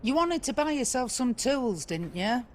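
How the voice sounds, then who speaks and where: A woman's voice: on the high side, big pitch swings, moderate pace. An actress playing a brusque, teasing old trader woman in a video game, with a blunt, colloquial manner. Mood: brisk, teasing. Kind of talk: video game cutscene acting.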